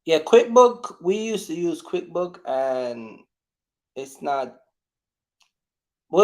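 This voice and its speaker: A man hosting a casual live question-and-answer chat, speaking in a halting, repetitive way and often addressing his audience directly. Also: fairly high pitch, gravelly, darkish tone. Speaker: livestream host.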